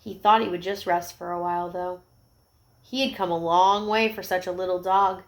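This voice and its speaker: A woman reading a picture book aloud to young children, expressive, with gentle explanatory asides. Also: fairly low pitch, moderate pace.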